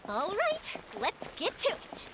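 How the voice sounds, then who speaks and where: A woman's voice: extremely high pitch, huge pitch swings, slow, heavy background noise, heavily acted. A woman playing a friendly, eager young woman in a video game, earnest and polite in manner. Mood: upbeat. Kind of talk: video game character acting.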